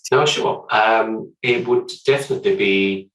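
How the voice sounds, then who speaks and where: A man from Sweden with a Swedish accent, a guest talking through his work in a measured, hesitant way with frequent restarts. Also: on the low side, fairly bright tone, echoey room, recorded from a distance, low-quality recording. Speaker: hotel development director, podcast guest. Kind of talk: casual interview chat.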